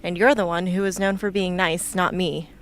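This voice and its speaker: A woman's voice: fairly low pitch, steady tone, moderate pace, close to an everyday voice. Woman acting blunt, defiant young rebel woman, noisy recording. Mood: guarded, prickly. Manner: blunt, sardonic. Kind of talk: amateur radio play acting.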